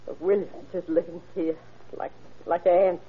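An actress playing a brooding, class-obsessed, quietly unhinged mother of grown sons in an old radio drama, with a halting, plaintive delivery. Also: lowish voice, fairly even intonation, breathy edge. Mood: bitter, brooding. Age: middle-aged or older. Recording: noisy, tinny old radio recording.

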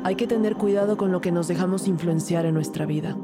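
An actress playing an earnest, intense police detective, narrating an audio drama in an urgent, introspective way. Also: female voice, on the low side, fast, loud music behind the voice, lightly acted. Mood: reflective, resolute. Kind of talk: dramatic first-person audio fiction narration.

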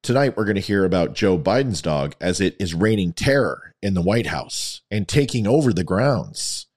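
Male commentary host, lower register, lightly worn and scratchy, emphatic theatrical delivery. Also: lively intonation, normal speed, darkish tone. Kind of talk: opinion commentary monologue to an audience.

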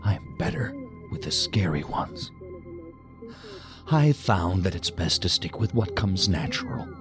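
An actor playing a menacing, talkative intruder with dark sardonic humour in an audio drama, taunting and rambling as he goes. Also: deep voice. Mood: sardonic menace. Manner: taunting, rambling, deadpan-joking. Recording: music under the voice.

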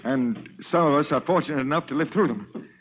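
An actor playing a formal, courteous school doctor in a 1940s radio drama. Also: male voice, fairly high pitch, fairly quick, slight background noise, natural voice. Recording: dull old radio recording.

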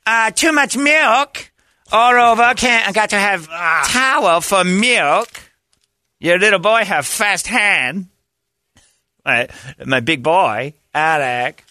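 A man putting on a halting broken-English accent caricature, squealing and stammering. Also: high voice, animated, bright, forceful tone, no room sound, voice pushed up, exaggerated voice. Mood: excited.

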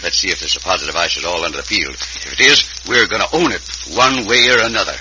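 An actor playing a pushy, shifty western villain with a tough, curt, bullying manner in an old-time radio drama. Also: male voice, sits low, fast, natural voice. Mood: scheming. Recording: hissy, muffled vintage radio recording.

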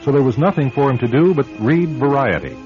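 A man narrating a scripted radio suspense drama as its host, in a dramatic, measured manner. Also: mid-range voice, sing-song, faint music behind. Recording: dull radio recording.

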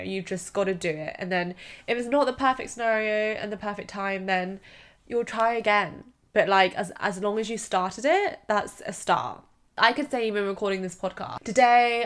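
A woman in her twenties with a stuffy nose, hosting a casual solo podcast and giving chatty, encouraging advice full of "like" fillers. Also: medium pitch. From United Kingdom. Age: 20s. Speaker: podcast host.

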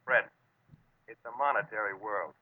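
A man's voice: on the low side, moderate pace, close to an everyday voice. Terse, cynical Navy diver, acted by a man. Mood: cynical, guarded. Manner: terse, dry. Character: cynical, money-minded male Navy diver, tired of service. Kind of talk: 1950s radio drama acting. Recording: tinny old radio recording.

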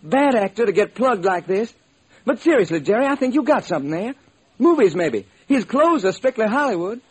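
An actor playing a wisecracking, exasperated police homicide lieutenant in an old radio detective drama, with a husky, slangy, grumbling delivery. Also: male voice, sits high, very animated, fairly quick, darkish tone, slight background noise. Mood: wry, speculative.

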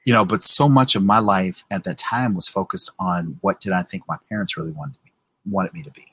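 An American man in his forties with a husky voice, telling his story casually as an interview guest, often checking in with the listener. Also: deep voice, animated, fairly quick, a bit bright, dry recording. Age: 40s.

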